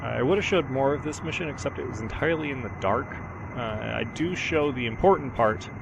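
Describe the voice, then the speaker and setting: A man who is sick with a sore throat, giving a relaxed, rambling gameplay voiceover with many ums and uhs. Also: medium pitch, a little fast, creaky. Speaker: gaming channel host. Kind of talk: casual gameplay voiceover commentary. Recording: steady background noise.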